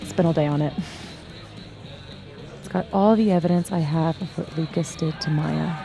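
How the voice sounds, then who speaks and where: A woman's voice: deep voice, fairly even intonation, moderate pace, vocal fry, dark tone, faint music behind. A woman playing a bold, sardonic, vengeful young woman in a video game, speaking with emphasis. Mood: resolute. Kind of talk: naturalistic video game acting.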